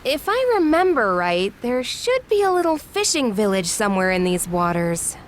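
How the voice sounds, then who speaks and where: A curious young woman adventurer in a video game. Her voice is on the high side and swings in an animated way, with an upbeat, inquisitive manner. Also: moderate pace, a little hiss or hum. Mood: eager. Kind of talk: video game cutscene dialogue.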